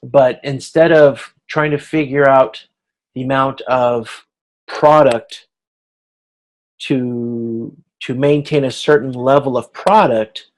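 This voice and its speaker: A man instructing in an informal online tutorial, thinking aloud in a halting way, correcting himself and checking that he is being followed. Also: medium pitch, darkish tone. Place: online video conference.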